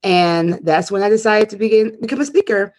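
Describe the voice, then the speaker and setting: A woman's voice: mid-range voice, fairly quick, darkish tone, slight background noise. A woman from Ghana who works as a counselor and speaker, talking as a guest in a chatty, enthusiastic way. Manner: chatty, enthusiastic, laughs easily. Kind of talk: casual interview chat.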